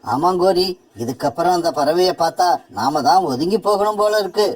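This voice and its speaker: A man's voice: high voice, animated, moderate pace, slightly rough. A man voicing a callous, bullying male crow in a cartoon moral story, mocking and brazen. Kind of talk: animated moral story dialogue.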